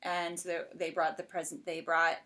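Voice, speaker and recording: A woman's voice: fairly low pitch, completely flat delivery, moderate pace, pushed and bright. Casual woman podcast host. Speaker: podcast host interviewing a guest. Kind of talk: casual chat about TV shows.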